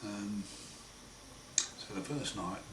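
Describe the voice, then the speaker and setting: A man with a croaky, hoarse voice after throat surgery, talking slowly and wryly in a casual home video diary. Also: fairly low pitch. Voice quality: hoarse, partly breathy. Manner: slow, wry, plain-spoken, often pausing. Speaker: patient sharing his recovery. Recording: roomy echo, far mic, noisy.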